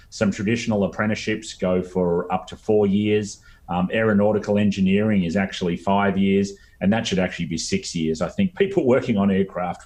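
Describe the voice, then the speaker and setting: Male interviewee, middle-aged, Australian accent, low voice, hesitant explanatory delivery. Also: a little mellow. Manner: halting, hesitant phrasing with frequent ums. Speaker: interview guest, apprenticeship expert.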